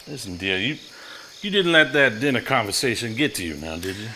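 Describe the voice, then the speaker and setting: An actor playing a reassuring, earnest 1950s husband in a live stage play, speaking in a soothing, halting manner. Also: male voice, lowish voice, expressive, bright, forceful tone. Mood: concerned, chatty. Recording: live stage recording with faint noise.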